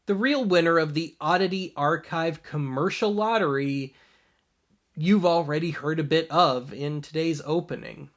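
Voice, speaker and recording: A man's voice: a little high, normal speed. A man hosting a show in a casual, wry commentary style. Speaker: host of a show about old tapes.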